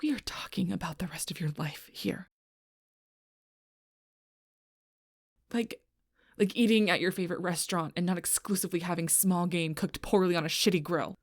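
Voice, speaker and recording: A woman playing a gruff, stubborn, protective female alpha werewolf in an intense audio roleplay, blunt and often hushed, with a husky voice. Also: on the low side, brisk, dry recording, clean studio sound. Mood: frustrated, lecturing. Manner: intense, blunt, swearing, often dropping to whispers.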